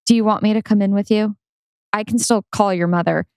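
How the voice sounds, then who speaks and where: A woman in a dramatic script reading, playing a caring, worried wife and mother who is reassuring and gently insistent. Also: medium pitch, steady tone, a little fast, clean tone, no room sound, clean studio sound, natural voice.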